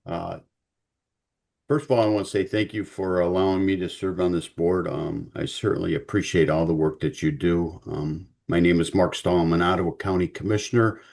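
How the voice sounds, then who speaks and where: Male commissioner, very low voice, earnest polite self-introduction on a video call. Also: steady tone, moderate pace, gravelly, darkish tone. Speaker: county commissioner.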